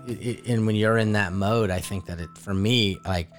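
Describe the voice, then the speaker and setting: A man's voice: on the low side, steady tone, faint music behind. A man co-hosting a podcast, talking hesitantly about a movie he enjoyed. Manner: halting, hesitant, full of restarts. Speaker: podcast co-host. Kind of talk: casual movie review chat.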